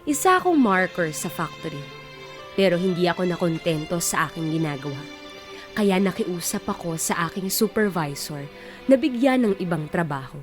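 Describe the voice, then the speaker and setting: A woman giving a scripted first-person testimony as narration for a radio drama. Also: fairly low pitch.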